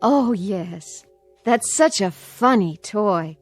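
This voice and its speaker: An actress playing an anxious, doting aunt in a radio drama, sweetly coaxing and nervously repeating herself. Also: female voice, medium pitch, animated, very slow, faint music behind. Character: anxious, doting aunt placating a feared nephew.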